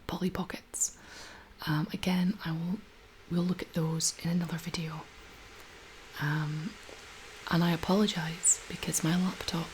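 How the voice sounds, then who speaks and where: A woman in her thirties speaks in a breathy near-whisper, pitched a little low and slightly husky. She chats casually as an ASMR host, gentle and unhurried. A faint layer of background noise sits behind her voice. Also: rough recording. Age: 30s. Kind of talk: soft-spoken ASMR, casual chat.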